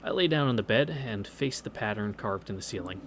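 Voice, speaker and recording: A man's voice: on the low side, expressive, brisk, a little hiss or hum. A man hosting a visual novel read-through, reading narration aloud with wry, casual asides over game music.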